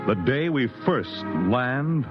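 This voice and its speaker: A man's voice: medium pitch, expressive, slow, over loud music. A man performing as a grave, portentous narrator of a space adventure in 1950s radio style. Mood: grave, ominous. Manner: dramatic, portentous. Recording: dull old radio broadcast.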